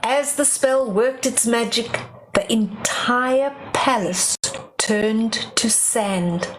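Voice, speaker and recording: A woman's voice: on the high side, slow, noisy background. An older woman reading a storybook aloud to young children, dramatic, with simple explanatory asides.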